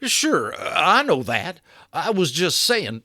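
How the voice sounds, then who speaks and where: A small, boastful storybook cowboy, put on by a male reader talks in a folksy, slangy twang. His voice sits well above the reader's own and the room sounds dry and close. He backpedals quickly whenever he is challenged. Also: fairly high pitch, huge pitch swings, bright, forceful tone, no echo, voice pushed up, exaggerated voice. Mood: defensive.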